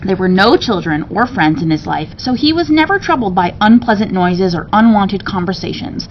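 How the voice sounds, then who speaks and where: A woman's voice: medium pitch, big pitch swings, moderate pace, highs cut off, a little hiss or hum. A woman reading a children's story aloud expressively, like a teacher to students, with casual asides.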